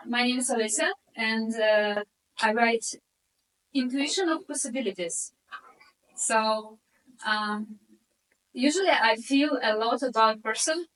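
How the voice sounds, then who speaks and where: A woman's voice: a little high, sharp tone, far from the mic, rough recording. A woman introducing herself at a workshop in reflective, hesitant non-native English. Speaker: workshop participant.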